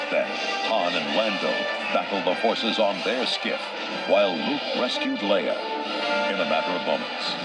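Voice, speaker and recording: Deep-voiced male storybook narrator. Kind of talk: scripted narration of an adventure story. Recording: loud music under the voice.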